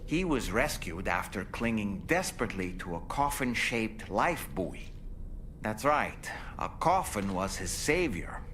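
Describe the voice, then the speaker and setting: A man's voice: on the low side, sing-song, measured pace, fairly bright tone, a little hiss or hum. A man acting as a theatrical, wry storyteller, delivering dramatic scripted narration in a video game. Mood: wry musing.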